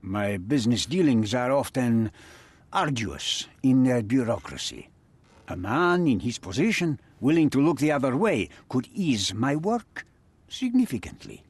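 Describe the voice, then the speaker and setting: A man's voice: medium pitch, big pitch swings, a little slow, slightly rough, dry recording, heavily acted. A man voicing a cold, threatening crime boss and smuggler in video game cutscene dialogue. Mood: smug. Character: menacing male crime boss, smuggler and cutthroat.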